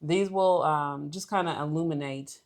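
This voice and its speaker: A woman's voice: low-pitched, normal speed. A woman co-hosting a relationship podcast, explaining things casually and conversationally with everyday examples.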